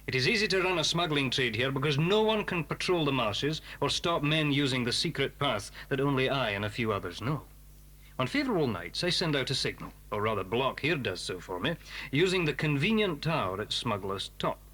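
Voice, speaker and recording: An actor playing a calculating smuggler villain in a children's audio drama, smoothly courteous with an edge of menace. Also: male voice, medium pitch, animated, sharp tone. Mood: persuasive, smug. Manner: courteous, unhurried persuasion with menace.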